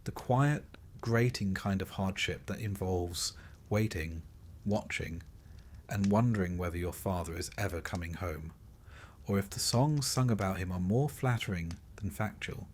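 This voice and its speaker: A man narrating a scripted sleep story as a storyteller, in measured, literary phrasing with short dramatic pauses. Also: sits low, slight background noise.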